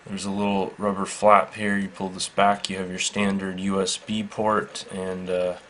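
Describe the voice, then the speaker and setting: A man giving a casual, unscripted product demonstration in a matter-of-fact, halting, measured way. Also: low-pitched, completely flat delivery, a bit bright. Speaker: product reviewer. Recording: faint background noise.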